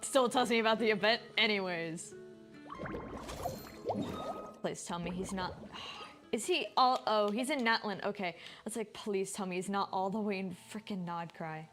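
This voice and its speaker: A woman's voice: mid-range voice, moderate pace. A woman chatting and thinking aloud as she gives casual live commentary on a game, sounding a bit tired. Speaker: game streamer. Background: game music.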